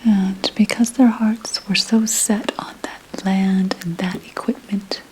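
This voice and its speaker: A woman voicing a 17th-century witch who tells of her coven in a breathy ASMR whisper, hushed and deliberate. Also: mid-range voice, steady tone, a little hiss or hum, natural voice. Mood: ominous, hushed. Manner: slow, hushed, deliberate storytelling. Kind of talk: whispered ASMR roleplay storytelling.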